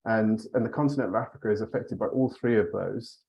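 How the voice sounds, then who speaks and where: Charity director, male, steady and hedging, slightly low pitch. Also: vocal fry. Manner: measured, hedging with "sort of" and "you know". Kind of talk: panel overview remarks.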